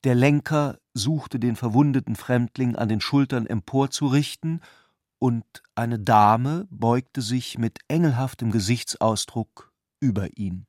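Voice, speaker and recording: A man reading literary narration for a radio drama in a measured, articulate way. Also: medium pitch, a little mellow, no echo, clean studio sound.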